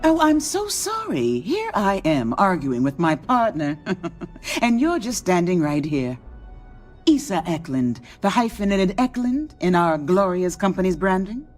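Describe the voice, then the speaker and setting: A woman's voice: on the low side, big pitch swings, measured pace, soft background music. An actress playing a charming, teasing, cunning female executive in a video game, with a gushing, theatrical manner.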